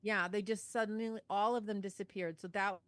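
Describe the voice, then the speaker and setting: A woman's voice: mid-range voice, steady tone, brisk, a bit bright, no room sound. A woman co-hosting a podcast, chatting casually and acting out what people said. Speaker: podcast co-host. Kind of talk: casual chat with acted-out quotes.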